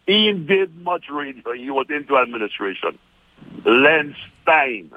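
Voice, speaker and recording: A man's voice: mid-range voice, very animated, a little slow, phone-quality sound. A man joining a radio chat, stressing his points emphatically and drawing words out. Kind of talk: casual reminiscing chat.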